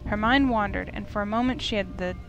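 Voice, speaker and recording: A woman's voice: mid-range voice, fairly quick, dull audio. Woman reading a story over music. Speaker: narrator of visual novel playthrough. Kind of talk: reading story narration aloud.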